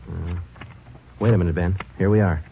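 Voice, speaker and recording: An actor playing a patient, matter-of-fact police detective in an understated old-time radio crime drama. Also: male voice, low-pitched, steady tone, fairly quick, vocal fry, slight background noise. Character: businesslike male police detective, patient and dry. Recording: muffled old radio recording.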